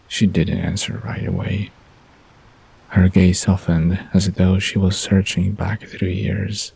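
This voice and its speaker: A man narrating a scripted bedtime audio drama in a husky, gravelly, hushed storytelling voice. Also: low voice, flat delivery, slow pace, low-quality recording.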